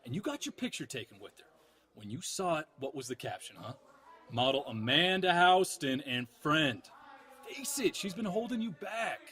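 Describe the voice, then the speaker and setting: Brief: man as excitable teammate, lively and a bit high, pushy. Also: normal speed. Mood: persuading. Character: young male football teammate, pushy advice-giver. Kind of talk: audio drama acting.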